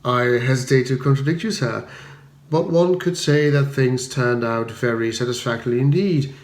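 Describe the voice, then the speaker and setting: A man's voice: mid-range voice, lightly acted. Unflappable English valet played by a man, discreet, polite, formal diction, echoing room. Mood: composed. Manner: formal, measured, deferential.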